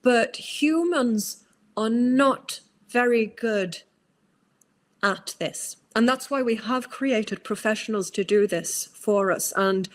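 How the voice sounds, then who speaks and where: A Norwegian woman speaking English with a British-tinged accent, a psychologist giving long, thoughtful interview answers with many fillers and restarts. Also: medium pitch. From Norway. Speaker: guest psychologist.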